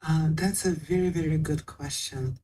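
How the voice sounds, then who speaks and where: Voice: medium pitch, normal speed, warm tone, rough recording. A guest patiently explaining how to learn a language in a casual interview, with small non-native grammar slips.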